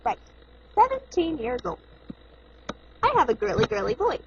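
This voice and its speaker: A woman's voice: a little high, wildly swooping pitch, normal speed, background noise. A woman voicing a goofy, deformed boy plushie in a home video, giggling and hamming it up, recorded on a home camcorder with dull, lo-fi audio. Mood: silly. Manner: giggling, playful and hammy. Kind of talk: home video play-acting.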